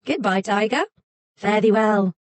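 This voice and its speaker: A woman's voice: medium pitch, a little slow, no room sound, pitched above the performer's own voice, lightly acted. A woman voicing a sly fox spirit in a storybook, sweet and coaxing at first, haughty and stern later.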